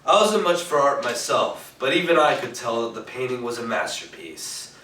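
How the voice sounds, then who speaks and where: An actor playing a cool, wry private detective narrating a 1940s-style radio noir on stage, in an echoey room from afar. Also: male voice, medium pitch, lively intonation, normal speed, sharp tone. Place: theatre stage, recorded from a distance. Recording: echoey stage, distant mic, faint noise.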